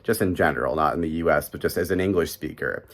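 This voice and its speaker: A man's voice: rumbling low, fast talker, vocal fry. A young man from Chicago with a Midwestern American accent, talking casually to a close camera in a small bedroom and rambling with lots of fillers. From Chicago, USA. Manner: rambling, heavy "like" and "you know" fillers. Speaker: vlogger. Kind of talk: casual talking-head monologue. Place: small bedroom in an apartment, indoors.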